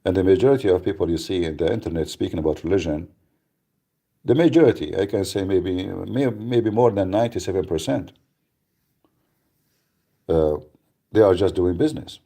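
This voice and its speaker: A man hosts live radio talk in a blunt, emphatic way, often repeating himself and restarting sentences. His voice sits very low and has a gravelly, husky edge. The tone is dark and mellow. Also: normal speed, pauses often. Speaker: radio show host.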